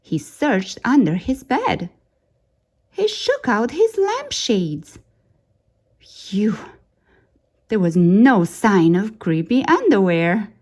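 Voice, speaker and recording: A woman narrates a children's picture book with theatrical suspense. Her pitch moves around in a very animated way, and she takes her time, stretching key words for effect. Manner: dramatic, suspenseful delivery with drawn-out key words. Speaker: storyteller reading a children's picture book aloud. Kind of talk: expressive read-aloud storytime narration.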